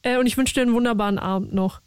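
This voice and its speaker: A woman's voice: fairly high pitch, rapid-fire, clean tone, no echo, studio quality. A young woman hosting a casual radio call-in show, chatty and slangy with her callers. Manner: chatty, lively, slangy and warm toward callers. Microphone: studio mic.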